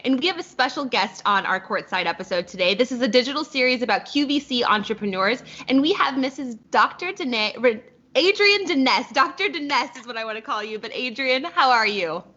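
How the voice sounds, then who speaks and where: A woman's voice: on the high side, animated, a little fast, sharp tone, highs cut off. A woman hosting an interview series, upbeat and effusive with praise for her guest. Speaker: host.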